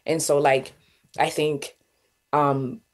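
A woman's voice: low-pitched, flat delivery, takes it slowly. A young woman from Sudan whose first language is Arabic, speaking thoughtfully as an interview guest, with many fillers and restarts. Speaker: guest poet and artist. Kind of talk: reflective interview talk.

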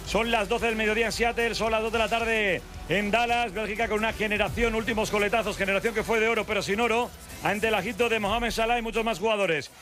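A man's voice: sits high, steady tone, fast talker, bright, forceful tone, soft background music. A man with a Castilian Spanish accent hosting a live sports radio show.

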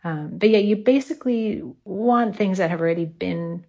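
A woman's voice: mid-range voice, moderate pace, warm tone, lo-fi sound. A woman giving casual, conversational cooking advice as an expert answering callers.

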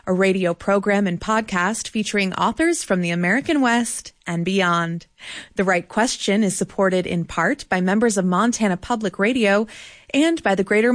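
Clear, even-voiced woman radio host. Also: mid-range voice, no echo, studio quality. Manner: measured, clear read of sponsor credits. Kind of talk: scripted radio introduction and interview questions.

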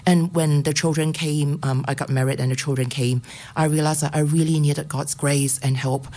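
A woman's voice: deep voice, fairly even intonation, moderate pace, a little mellow. A middle-aged woman from Singapore giving a personal faith testimony on radio, hesitant, with many ums and restarts.

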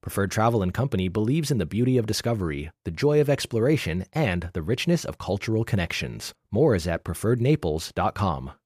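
A man's voice: on the low side, sing-song, fairly quick, hardly any pauses, a little mellow, no room sound, studio quality. A man reading sponsor announcements for a radio show in a polished way.

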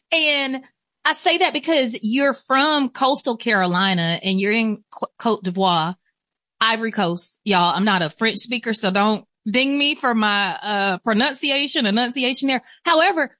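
An American woman hosts a conversational radio interview in a fairly high voice. She is effusive and informal, talking in a very dry acoustic. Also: a little slow, pushed and bright, no echo. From Atlanta, Georgia, US. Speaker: interview show host.

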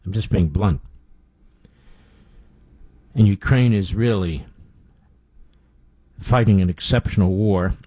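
A man's voice: low-pitched, slow, long pauses, mellow, no echo. A man hosting a talk radio show, giving blunt, scornful commentary with frequent fillers.

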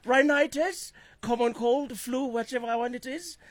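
A South African man co-hosting a morning radio show while sick with a cold, nasal and husky, joking and rambling. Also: extremely high pitch, unhurried, no room sound. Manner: jokey, self-pitying, rambling. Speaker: radio breakfast show co-host. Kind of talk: casual morning radio banter. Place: radio studio. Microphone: studio microphone.

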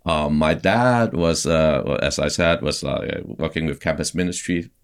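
Very deep-voiced man telling his story. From Hong Kong. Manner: halting, many fillers and restarts. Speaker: pastor and guest. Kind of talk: casual interview storytelling.

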